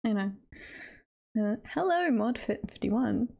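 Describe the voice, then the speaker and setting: A woman's voice: fairly high pitch, expressive, takes it slowly, vocal fry, darkish tone. A woman livestreamer chatting casually and hesitantly as she talks through tier lists. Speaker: streamer.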